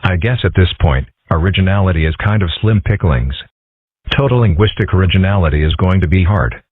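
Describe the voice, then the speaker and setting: A man voicing dry, understated nerdy schoolboys in an amateur comic-strip dub, with a husky voice. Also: sits low, lively intonation, fairly quick, no echo. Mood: dry, mild. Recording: muffled, phone-like lo-fi audio.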